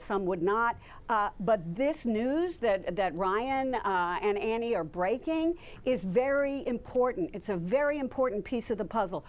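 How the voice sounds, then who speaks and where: A woman's voice: medium pitch, darkish tone. A woman giving live political commentary on the news, emphatic and fond of posing questions. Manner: emphatic, poses rhetorical questions. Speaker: political analyst.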